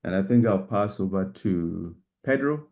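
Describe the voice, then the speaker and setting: A man sharing sincerely and at a measured pace at an online spiritual gathering. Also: low voice, animated, vocal fry, a little mellow. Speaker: community member sharing.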